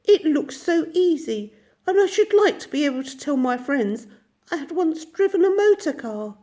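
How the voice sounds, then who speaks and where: Woman doing a toad, male, boastful, pompous, high squeaky voice, storybook. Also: expressive, normal speed, dark tone. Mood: wheedling.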